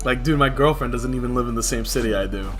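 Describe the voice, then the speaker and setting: A man's voice: mid-range voice, fast talker, a bit bright. A young man from New City, talking casually and candidly in slangy, conversational livestream chat. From New York City. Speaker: game livestreamer. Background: music.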